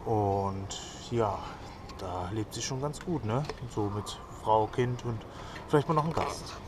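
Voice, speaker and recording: A man's voice: on the low side, a little slow, background noise. A young man chatting casually through a travel vlog, enthusiastic and full of fillers, filmed on a camera held at arm's length. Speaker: vlogger. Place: museum indoors, then city streets at night. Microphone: action camera held at arm's length.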